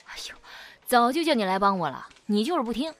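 A woman's voice: a little high, big pitch swings, fast. Easygoing, teasing businessman pal voiced by a woman. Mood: interested. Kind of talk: Chinese amateur radio drama acting.

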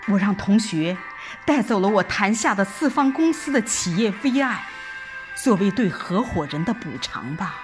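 A woman's voice: medium pitch, lively intonation, moderate pace, over music. A woman giving an emotive, dramatic first-person narration of a scripted story, like an audiobook reader. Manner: emotive, theatrical delivery.

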